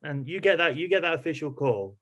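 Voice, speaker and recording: Higher-voiced man hosting an interview. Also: expressive, a little fast, a bit bright, poor audio. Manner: conversational, rephrases questions as he goes. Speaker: podcast host, interviewer. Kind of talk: casual interview questioning.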